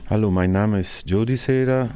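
A middle-aged man from Naples with an Italian accent, a multimedia artist and artistic director, answering interview questions in non-native German with many pauses and fillers. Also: on the low side, mellow, clear, resonant tone. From Italy, Naples. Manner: hesitant, searching for words, frequent fillers. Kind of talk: interview answer addressed to young listeners.

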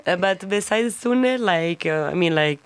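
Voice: medium pitch, lively intonation, measured pace, clear, resonant tone, dry recording. An interviewer with an Italian accent asking a DJ questions in chatty, encouraging English full of likes and small laughs. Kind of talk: casual interview.

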